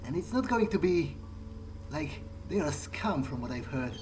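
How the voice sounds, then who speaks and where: A man roleplaying in character through a video game, thinking aloud in a halting, hesitant monologue. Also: on the high side, big pitch swings, darkish tone, soft background music.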